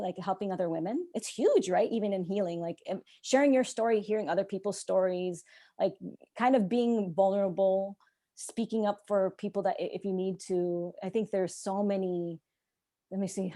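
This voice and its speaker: A young woman whose first language is Czech, chatting as a podcast guest in a rambling, animated way with frequent laughter. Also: mid-range voice. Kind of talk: casual interview chat.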